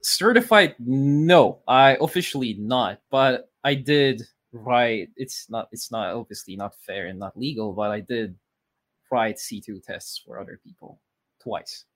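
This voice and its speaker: Relaxed thirty-year-old Polish man, dry close sound. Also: mid-range voice, measured pace, no room sound. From Poland. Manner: relaxed, rambling with fillers and restarts. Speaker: podcast guest. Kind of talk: casual interview chat.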